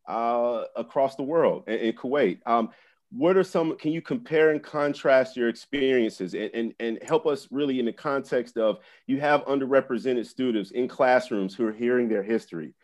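A man co-hosting an education podcast, talking in a halting way with many fillers and restarts. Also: medium pitch, a little mellow. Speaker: podcast co-host.

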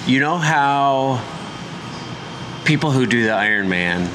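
A man's voice: medium pitch, expressive, slow pace. A man in his forties hosting a casual podcast interview in a bike shop, chatty and joking. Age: 40s. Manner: chatty, joking, asks loose questions. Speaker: podcast host. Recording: noisy shop background. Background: shop noise, people working on bikes.

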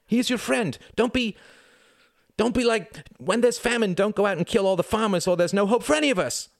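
A man voicing a desperate young man, urgently shouting and pleading for his brother. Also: fairly high pitch, normal speed, no echo, clean studio sound, voice pushed up, close to an everyday voice. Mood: frantic pleading.

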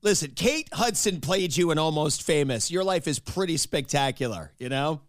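A man hosting an interview show, chatty and self-deprecating, his voice raspy from a cold. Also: fairly high pitch, lively intonation, normal speed, dry recording, studio quality. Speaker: host. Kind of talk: casual interview and narration.

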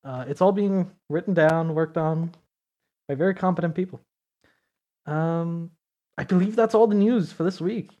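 Slightly high-voiced guy hosting a podcast, upbeat, reads off news and questions. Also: normal speed, pauses often, dark tone, muffled recording. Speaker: podcast host. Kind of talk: casual podcast chat.

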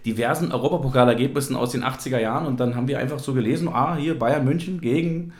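A man's voice: mid-range voice, quick pace. A man from East Germany hosting a casual football podcast, talking in a rambling, reminiscing way. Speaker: podcast host. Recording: roomy, echoey sound.

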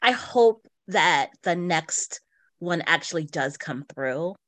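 A woman's voice: lowish voice, expressive, slow pace, fairly bright tone, no echo. A woman co-hosting a podcast in casual, giggly conversation. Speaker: podcast co-host. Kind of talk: casual chat about books and shows.

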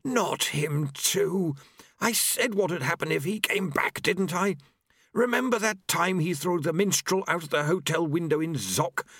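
A man's voice: fairly high pitch, animated, a little slow, fairly bright tone, slightly rough, dry recording, clean studio sound, voice pushed up, exaggerated voice. A man voicing a nagging, petulant female horseman of the apocalypse in a fantasy audiobook. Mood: peevish.

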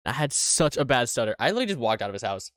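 A man's voice: medium pitch, very animated, very fast, fairly bright tone, dry recording, clean studio sound. A young man co-hosting a casual podcast chat, who stutters often and laughs a lot. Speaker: podcast co-host.

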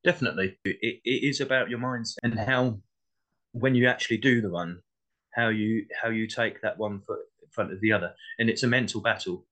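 A man with a southern English accent and a slightly low voice speaks as a guest in a casual discussion. He is hesitant, often repeating a word as he starts a thought. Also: fairly even intonation, fairly quick, sharp tone, rough recording. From Essex, England. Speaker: podcast guest.

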